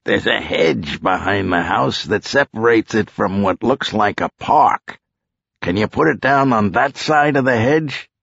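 A man voicing a brash, gruff police boss with a commanding, sardonic manner in an audiobook. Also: lowish voice, expressive, measured pace, a bit bright, no room sound, highs cut off, voice pushed up, exaggerated voice. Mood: wry.

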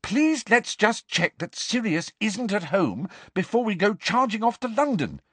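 A man's voice: a little high, very animated, moderate pace, husky, no room sound, voice pushed up. A man voicing an anxious, insistent, clever teenage girl in an audiobook, pleading and precise. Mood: desperate, pleading. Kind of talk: audiobook character voice.

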